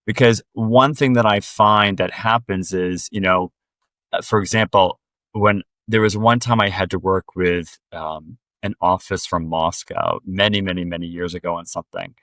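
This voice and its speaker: A man speaking as a podcast guest in an earnest, direct way, sharing reflections in relaxed conversation and quickening when he presses a point. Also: sits low, dry recording.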